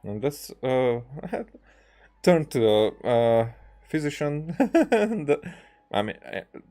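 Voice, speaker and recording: A man giving casual let's-play commentary, rambling and often halting as he searches for his words. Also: medium pitch, very animated, mellow. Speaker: game streamer, commentator. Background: faint game music.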